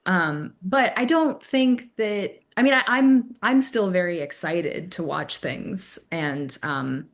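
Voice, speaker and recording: A woman's voice: lowish voice, slow pace, gravelly, few pauses. A young woman chatting casually as a podcast guest, hesitant and saying "like" throughout. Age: young adult. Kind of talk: casual chat.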